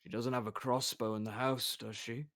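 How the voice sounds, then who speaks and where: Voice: mid-range voice, steady tone, moderate pace, a bit bright, natural voice. A reader voicing a terse, subdued swordsman. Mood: dry. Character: calm terse male monster hunter.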